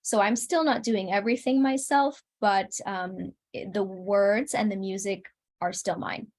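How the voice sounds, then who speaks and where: A woman's voice: mid-range voice, steady tone, unhurried, a little mellow. A woman answering as a guest in a casual interview, speaking in a thoughtful, earnest way. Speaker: interview guest, singer-songwriter.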